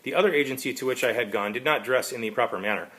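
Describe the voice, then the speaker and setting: A man's voice: medium pitch, racing, fairly bright tone, natural voice. A man reading aloud as an eccentric, oddly polite time traveler who speaks in stilted, formal wording. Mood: stiltedly polite. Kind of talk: amateur storybook reading of character dialogue.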